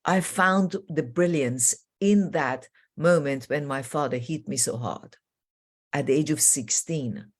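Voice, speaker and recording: Emphatic woman in her sixties, lower-pitched. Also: measured pace. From Iran. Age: 60s. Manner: emphatic, declarative, repeats key phrases. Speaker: transformation coach and guest. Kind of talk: personal storytelling in a conversation.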